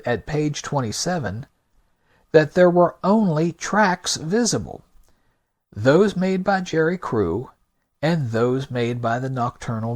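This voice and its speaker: A man hosting a podcast with scripted narration, delivered in a deliberate, emphatic, argumentative manner. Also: fairly high pitch, a little mellow. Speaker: podcast host.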